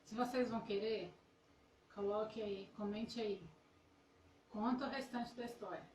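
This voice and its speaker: A woman's voice: mid-range voice, fairly even intonation, a little slow, a little mellow. A woman co-hosting a ministry live stream in casual, conversational talk. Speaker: ministry co-host. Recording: echoey, distant mic with background noise.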